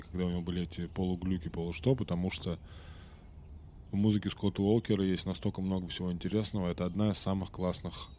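Deep, mellow-voiced man with a cold. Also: slight background noise. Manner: rambling, many fillers and self-corrections. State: slightly sick, occasional throat clearing. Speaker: podcast host. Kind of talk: casual storytelling monologue.

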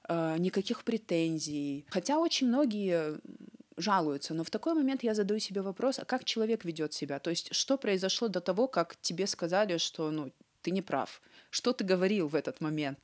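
A young adult woman surgeon from Russia, Ossetian her first language, telling stories earnestly with lively acted-out quotes in an interview. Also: lowish voice. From Russia (North Ossetia). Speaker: cardiac surgeon, interview guest. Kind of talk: conversational interview answers.

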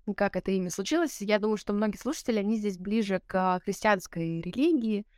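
A woman's voice: medium pitch, fast, a little mellow, no room sound, clean studio sound. A woman in her twenties, a guest in an interview, talking reflectively with many fillers and restarts. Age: 20s. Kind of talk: casual interview talk.

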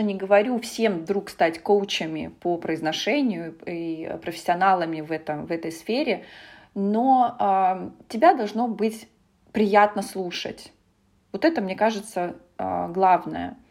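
A woman who coaches pronunciation talks as a podcast guest, weighing her words. She pauses often with small hesitation sounds, and her voice stays at a middle pitch. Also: darkish tone. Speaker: guest, British accent specialist. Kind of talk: casual podcast conversation.

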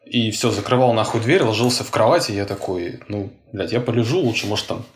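A man in his thirties co-hosting a podcast, chatting in a laid-back way, laughing often and cutting in with quick agreements. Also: fairly low pitch. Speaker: podcast co-host. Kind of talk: casual chat. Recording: echoey room.

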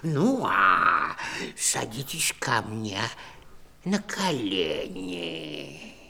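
Gravelly cartoonish animal in old audio play, sharp n fussy, super slow, swoopy. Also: male voice, fairly low pitch, huge pitch swings, full character voice. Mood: fussy, hushed. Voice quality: gravelly, rough. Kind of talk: 1960s audio play character acting.

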